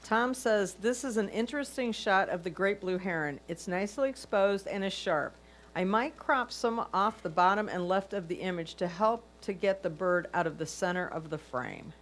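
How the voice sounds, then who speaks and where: A woman's voice: mid-range voice, normal speed. A woman reading out a judge's written comments. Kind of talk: reading written critique aloud.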